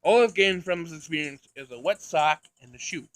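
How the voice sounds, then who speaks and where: A detached robot girl from a visual novel, read by a man in a slightly high voice, literal-minded and condescending. The phrasing is formal and emotionless, recorded dry. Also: fairly quick, fairly bright tone, no echo, natural voice. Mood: detached disdain.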